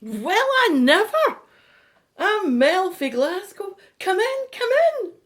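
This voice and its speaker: A woman's voice: extremely high pitch, very animated, takes it slowly, a bit bright, exaggerated voice. A woman playing an effusive, welcoming woman in a radio drama. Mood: delighted, welcoming. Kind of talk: audio drama acting.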